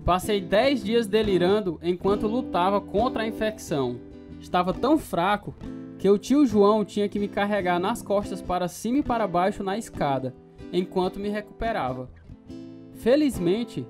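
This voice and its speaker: A man looking back on his youth in a dramatized radio story. He narrates in a measured, reflective way, with plucked guitar music clearly behind him. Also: high voice, animated.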